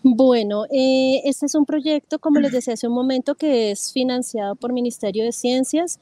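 A woman who leads a research project, speaking calmly and articulately. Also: high-pitched, moderate pace, dry recording. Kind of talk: explaining on a talk program.

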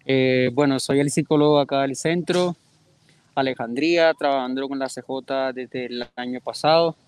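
A man with a Colombian Caribbean coast accent, speaking at a middle pitch. He introduces himself on a talk program in a courteous, friendly way, pausing now and then on small fillers. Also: clear, resonant tone. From Cartagena, Colombia. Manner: polite, friendly, slightly hesitant with fillers. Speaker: school psychologist. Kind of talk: radio-style talk introduction.

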